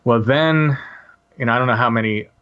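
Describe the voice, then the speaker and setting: A man in his late forties, a podcast guest, telling a personal story casually in a halting way with many restarts and fillers. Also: medium pitch, clear, resonant tone, dull audio.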